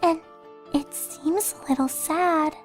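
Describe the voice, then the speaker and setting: Very high child pony, slow, gentle, curious, audio drama, music behind. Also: female voice, steady tone. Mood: wistful. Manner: slow, simple childlike speech. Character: young curious filly, gentle little girl pony.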